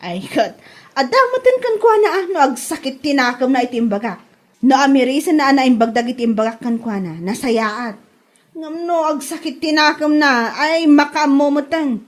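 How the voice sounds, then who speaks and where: Actress as a nosy Ilocano village wife, high voice, scolding and emphatic, for comedy drama. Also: female voice, lively intonation, normal speed. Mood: indignant, gossipy. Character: sharp-tongued, scolding village woman, a gossipy neighbour and wife. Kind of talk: Ilocano comedy radio-style drama acting.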